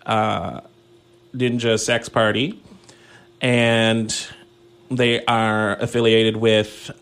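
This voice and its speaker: A man's voice: mid-range voice, a bit bright. A Canadian man hosting a small community radio show, chatting casually and enthusiastically with lots of fillers about the next song. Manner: rambling, filler-heavy, enthusiastic.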